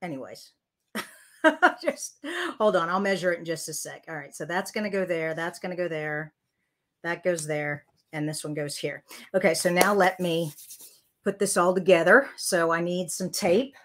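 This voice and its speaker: A woman's voice: fairly low pitch, moderate pace, fairly bright tone, a little hiss or hum. An older woman hosting a live card-making tutorial, chatting as she works and reading viewer comments aloud. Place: craft room at a desk.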